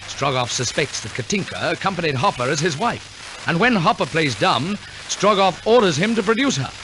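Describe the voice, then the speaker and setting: A man's voice: fairly high pitch, lively intonation, moderate pace, background noise. A man narrating a scripted story in the formal, theatrical style of a 1940s radio announcer, on a vintage recording. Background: orchestral music.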